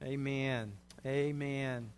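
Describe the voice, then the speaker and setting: A man's voice: medium pitch, fairly even intonation, extremely slow, over loud music. An older man, preaching a sermon in a folksy, chatty way with many uhs while he has a head cold. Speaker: pastor.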